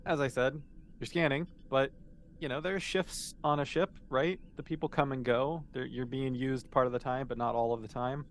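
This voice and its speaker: A man's voice: medium pitch, fairly even intonation, normal speed, slight background noise. A man speaking casually and informally as a game master, explaining and narrating a tabletop roleplaying game.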